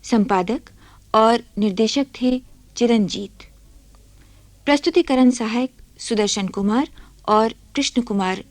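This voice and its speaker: A woman announcer reads formal credits in a dry studio sound. Her pace is slow and deliberate, her phrasing precise, and her pitch a little high. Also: no room sound. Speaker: radio announcer.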